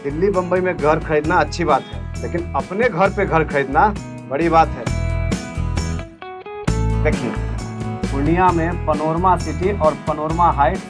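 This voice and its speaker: An actor playing a cheerful adult son in a scripted ad, making a persuasive sales pitch. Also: male voice, a little slow, long pauses, over music, close to an everyday voice. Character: upbeat adult son selling a home. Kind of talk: scripted ad acting.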